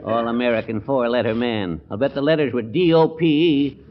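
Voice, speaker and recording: An actor playing a crusty, excitable small-town judge in a 1940s radio comedy, folksy and blustering, tripping over his words. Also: medium pitch, fairly quick, heavy background noise, lightly acted. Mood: exasperated scolding. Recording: dull lo-fi 1940s radio recording.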